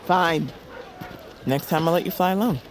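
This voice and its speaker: A woman's voice: on the low side, moderate pace, a little hiss or hum, close to an everyday voice, poor audio. A woman playing a tough, guarded bird-folk assassin in a dramatic audio fiction, terse, defiant and protective, with music under her voice. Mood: exasperated, protective.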